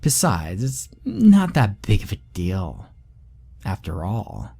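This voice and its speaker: A man reading a horror story and voicing an eerie little girl who is sweet, childish and quietly menacing. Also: lowish voice, wildly swooping pitch, slow pace, gravelly, slightly rough, heavily acted. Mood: gleeful. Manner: sing-song, childish and sweetly threatening.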